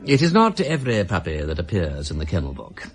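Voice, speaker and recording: An actor playing an urbane, wry English aristocrat in a radio drama, with a pompous, flowery upper-class English manner of speech. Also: male voice, deep voice, wildly swooping pitch, fairly quick, husky, heavily acted. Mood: lofty, wry. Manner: pompous, flowery, drawling mock-courtesy. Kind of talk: British radio drama acting.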